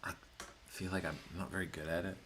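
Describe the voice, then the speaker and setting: A man in his thirties, co-hosting a casual chat in a soft-spoken, rambling way that often trails off. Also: deep voice, level tone, fairly quick. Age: 30s. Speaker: co-host.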